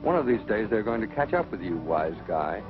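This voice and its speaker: A man's voice: fairly low pitch, big pitch swings, fairly quick, over music. A man narrating a 1940s training film as a stern military flight instructor, authoritative, scolding and didactic. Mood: exasperated. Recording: muffled noisy 1940s film soundtrack.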